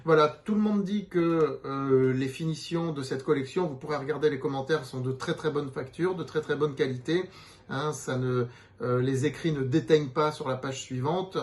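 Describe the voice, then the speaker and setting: A man's voice: a little high, moderate pace. A man giving an unscripted promotional presentation as a publisher's representative, explaining things in a hesitant way.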